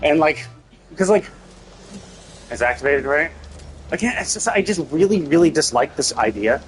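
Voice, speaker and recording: Higher-pitched man streaming game commentary over music. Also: lively intonation, moderate pace. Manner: profane, opinionated, mockingly paraphrases characters.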